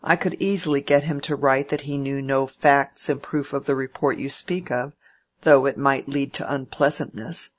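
A reader doing a proud polite young gentleman. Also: mid-range voice, fairly even intonation, normal speed, lightly acted. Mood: polite defensive. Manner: formal, courteous address. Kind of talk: audiobook character reading.

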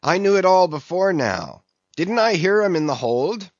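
A male reader acts out an outspoken whaling sailor in a sea story. He talks breezily and insistently, pitched up and lively, with a cocksure air. The recording is slightly muffled. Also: moderate pace, no room sound. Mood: breezy, insistent. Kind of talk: classic sea novel audiobook character.

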